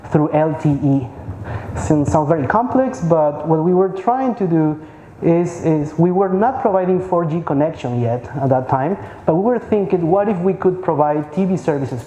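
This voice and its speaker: A man's voice: on the high side, dark tone, a little hiss or hum. A man, giving an informal conference talk and often repeating words as he searches for them. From Peru. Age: 30s. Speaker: conference speaker. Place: large conference hall. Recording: echoey hall with faint ambience.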